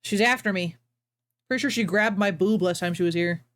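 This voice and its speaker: A woman's voice: medium pitch, brisk, a bit bright. A woman hosting a casual livestream, talking in a playful, teasing way. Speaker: livestream host.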